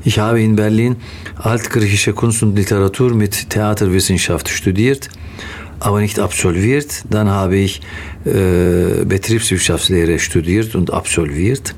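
A middle-aged man with a Turkish accent gives conversational interview answers. His voice is low and very slow, with frequent pauses as he searches for words. There is faint noise behind him. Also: darkish tone. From Turkey. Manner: halting, pausing often to search for words. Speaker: interview guest, theatre actor.

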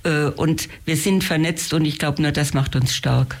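A man with a somewhat high voice talks as a guest in a radio interview. He is a volunteer organiser and speaks in an earnest, conversational way. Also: fairly even intonation, moderate pace.

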